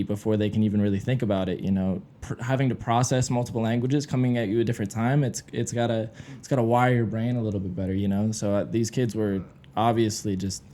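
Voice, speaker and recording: A man's voice: lowish voice, dark tone, a little hiss or hum. A man hosting a podcast in a loose, casual way with lots of fillers. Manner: loose, filler-heavy talk. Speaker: podcast host.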